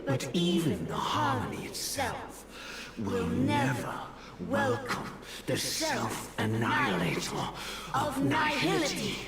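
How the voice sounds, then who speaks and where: A woman's voice: a bass voice, wildly swooping pitch, slow, over a noisy crowd, heavily acted. A woman voicing a proud, stern Dream Master in a childlike body, delivering solemn, long-winded threats in a video game. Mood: grave condemnation. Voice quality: childlike, theatrical. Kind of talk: dramatic video game voice acting. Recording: echoing voice under loud music.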